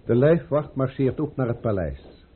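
An actor playing a dutiful, formal count in a radio drama. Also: male voice, medium pitch, lively intonation, moderate pace, a little hiss or hum, lightly acted. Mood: dutiful, firm. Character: dutiful, formal count delivering orders to royalty. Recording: muffled, phone-like old radio play recording.

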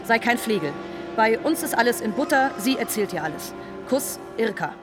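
An actress playing a brisk, bossy wife voicing a letter in a German radio drama. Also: female voice, fairly high pitch, lively intonation, music in the background, natural voice. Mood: breezy, bossy.

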